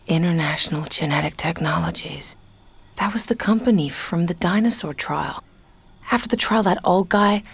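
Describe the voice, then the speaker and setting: A woman's voice: on the low side, gravelly, husky, exaggerated voice. A woman voicing a young woman stranded on a dinosaur island, who talks to herself in a wry, frightened way. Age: young adult. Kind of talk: game dialogue and narration.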